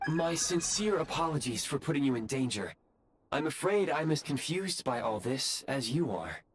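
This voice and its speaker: A man's voice: a little high, big pitch swings, normal speed, a little hiss or hum. A man voicing an eager, apologetic robot guide in a video game, with formal, courteous and precise announcer phrasing.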